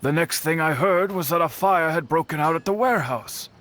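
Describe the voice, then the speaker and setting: A man's voice: a little high, a bit bright, close to an everyday voice. A man voicing an earnest young man in a video game, worried about a missing friend. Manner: earnest, measured. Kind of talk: game voice acting.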